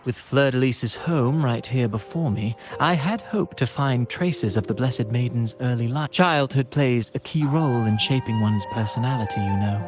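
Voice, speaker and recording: A theatrical gentleman playwright acted by a man from a video game. He speaks in a medium register with flowery, courtly flourishes and dramatic pauses. Clear music plays behind him. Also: medium pitch, close to an everyday voice. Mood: wistful, theatrical. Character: courtly, theatrical male playwright, self-styled humble.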